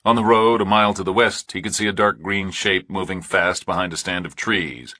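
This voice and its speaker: A man's voice: deep voice, brisk, sharp tone, no room sound, clean studio sound. A man reading a thriller audiobook as scripted narration.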